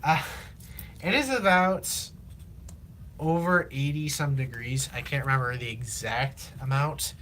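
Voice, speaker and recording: A teenage man from Illinois, chatting casually on a live stream while he builds, rambling with lots of fillers, his voice slightly raspy from long talking. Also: mid-range voice, fairly bright tone, slight background noise. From Illinois, USA. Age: teens. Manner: rambling, halting, many fillers and restarts. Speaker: livestreamer.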